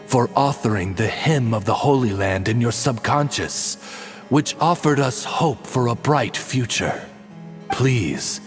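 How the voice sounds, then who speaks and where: A man voicing a devoted, courtly talking raven in a video game, speaking formally and with grand eloquence. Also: mid-range voice. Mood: reverent. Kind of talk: video game cutscene voice acting.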